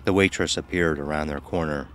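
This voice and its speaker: Very deep-voiced man narrating fiction. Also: steady tone, a little fast, a bit bright, slight background noise. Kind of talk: scripted story narration.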